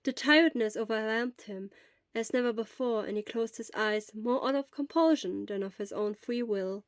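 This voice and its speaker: A woman's voice: fairly high pitch, moderate pace. A woman reading scripted story narration as the narrator of a fan fiction audiobook. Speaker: podfic narrator.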